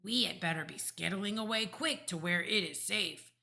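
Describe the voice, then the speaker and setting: Woman as a cautious, bright-voiced friendly giant. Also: on the low side, normal speed, gravelly. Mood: hushed, earnest. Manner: hushed, urgent explaining. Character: gentle, cautious male giant, folksy and muddled in grammar.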